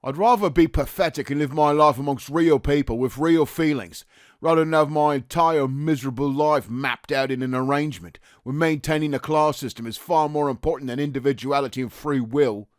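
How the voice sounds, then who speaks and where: Bloke acting young cockney squaddie in a war audio play, bitter and mouthy, average pitch, dry recording. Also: male voice, a little fast, no room sound, natural voice. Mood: angry. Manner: bitter, mocking, blunt. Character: resentful working-class Cockney private, aged nineteen.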